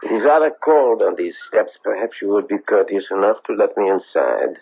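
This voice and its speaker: Middle-aged investigator, gravelly n polite but menacing, swoopy intonation, old radio mystery sound, thin and tinny. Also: male voice, lowish voice, animated, exaggerated voice. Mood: politely insistent. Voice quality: gravelly, husky. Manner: formal, halting, deliberate. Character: insistent middle-aged European male investigator, coolly courteous and menacing. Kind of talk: 1970s radio mystery acting. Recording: tinny, band-limited old radio broadcast.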